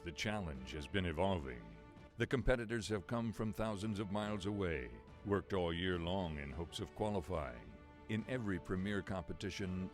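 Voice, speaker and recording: A man reading formal scripted narration for a sports broadcast, measured and even. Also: low voice. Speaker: announcer. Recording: voice-over with music bed. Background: music.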